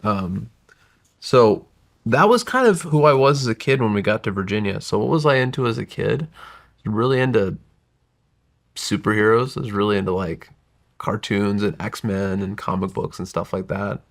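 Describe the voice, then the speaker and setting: A man's voice: on the low side, moderate pace, vocal fry. A man in his forties whose first language was Gujarati, telling his life story as a podcast guest in a reflective, winding way that often corrects itself. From United States. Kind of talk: casual interview storytelling.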